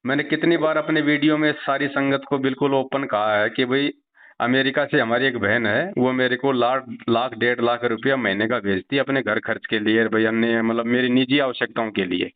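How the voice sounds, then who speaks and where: A man's voice: mid-range voice, steady tone, a little fast. A middle-aged man, explaining things respectfully and reassuringly in conversation. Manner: respectful, repeatedly addresses the listener. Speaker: religious devotee, video preacher. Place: phone call. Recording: tinny, narrow phone line.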